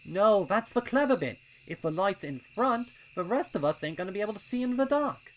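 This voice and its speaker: A man's voice: high-pitched, big pitch swings, a little fast, fairly bright tone, a little hiss or hum, pitched above the performer's own voice, rough recording. A man voicing a young colt adventurer, an eager and confident little planner, in a story reading. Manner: colloquial, eager explaining.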